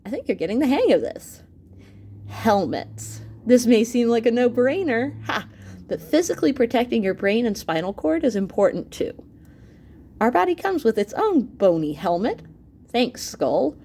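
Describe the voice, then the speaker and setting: A woman's voice: a little high, normal speed, breathy edge. A woman reading an educational book aloud as a teacher to students, expressively, speaking directly to her listeners with asides. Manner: animated, with asides and exclamations to listeners.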